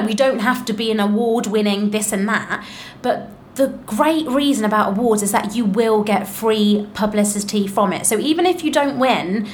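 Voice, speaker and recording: Woman, podcast host, fairly high voice, chatty, effusive, informal, noticeable background noise. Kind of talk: casual podcast interview. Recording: clearly noisy background.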